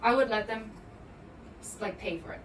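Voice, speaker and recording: A woman's voice: on the high side, normal speed. A woman from Hong Kong, co-hosting a podcast, telling stories in casual chat. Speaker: podcast co-host. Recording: roomy, distant mic, faint noise.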